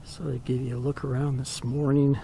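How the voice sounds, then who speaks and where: Gravelly-voiced man narrating from his boat. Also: medium pitch, a little fast, a little hiss or hum. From Canada. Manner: relaxed, chatty, soft-spoken at times. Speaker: boating vlogger. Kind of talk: casual narration aboard a boat. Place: outdoors on a boat at anchor.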